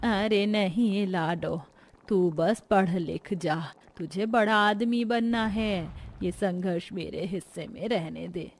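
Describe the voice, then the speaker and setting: A woman's voice: medium pitch, slow. A woman voicing a humble, weary old grandmother in her seventies, plaintive and folksy, in a cartoon story. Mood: pleading, weary. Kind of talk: Hindi cartoon story voice acting.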